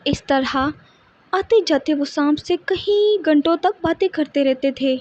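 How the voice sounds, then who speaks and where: Very high-pitched woman storyteller. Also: measured pace, a little mellow, dull audio. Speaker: narrator. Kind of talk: scripted children's story narration.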